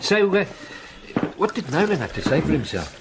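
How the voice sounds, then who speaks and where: A man's voice: fairly high pitch, big pitch swings, moderate pace, vocal fry, noisy background. A man acting a blunt, needling police detective in a radio drama, with a wry, colloquial manner. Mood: sceptical.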